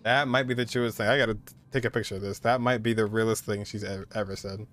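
A man's voice: fairly low pitch, steady tone, fast talker, fairly bright tone. A young man in his twenties casually commenting as he reacts to a game, informal and emotionally invested. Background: game music.

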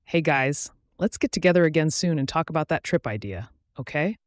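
A man's voice: a little high, animated, fairly quick, dry recording, clean studio sound, close to an everyday voice. A man voicing a jovial, carefree male friend in a story, with a breezy, joking manner.

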